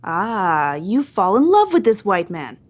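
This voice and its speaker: A woman acts out an older Hawaiian mother in a radio melodrama. She is stern and menacing, speaking in terse, broken English at an ordinary female pitch. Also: big pitch swings, measured pace. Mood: stern, ominous. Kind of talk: old-time radio melodrama acting.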